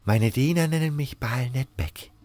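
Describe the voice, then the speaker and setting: A man's voice: mid-range voice, expressive, normal speed, darkish tone, natural voice. A man playing a dazed swamp cultist in a fantasy audio drama, mumbling as if he were a great guru. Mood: dazed, muttering. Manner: mumbling, repetitive. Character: dazed, muttering swamp cultist who thinks himself a guru.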